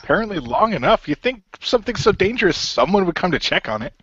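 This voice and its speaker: A man's voice: high voice, big pitch swings, fast, a bit bright, slightly rough, no room sound, dull audio. A man casually improvising as a player in a radio role play.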